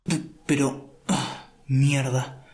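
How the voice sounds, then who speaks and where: A man's voice: medium pitch, little pitch movement, very slow, darkish tone, a little hiss or hum. A man voicing a devoted male vampire servant in an audio drama, formal, deferential, earnest and protective. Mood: anxious protest. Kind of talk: Spanish-language ASMR roleplay audio drama.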